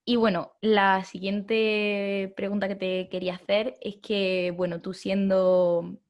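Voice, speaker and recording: A woman's voice: medium pitch, level tone, slow. A woman with an Andalusian Spanish accent, asking interview questions with hesitant restarts.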